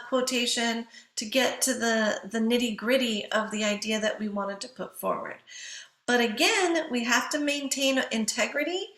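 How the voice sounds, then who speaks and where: A woman's voice: high voice, fairly bright tone, echoey room. A woman giving an instructional writing lecture in a didactic way, posing questions to listeners and answering them herself.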